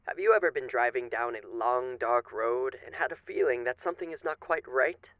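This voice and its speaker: A courteous, menacing male demon of the radio for an ASMR roleplay. His mid-pitched voice has a creaky, gravelly edge. He draws out his phrases like a genteel showman, teasing and faintly threatening, in a very dry, intimate space. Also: animated, a bit bright, no room sound. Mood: showman-like, sly. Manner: genteel, mock-polite, teasing, drawn-out theatrical phrasing. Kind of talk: theatrical ASMR roleplay monologue.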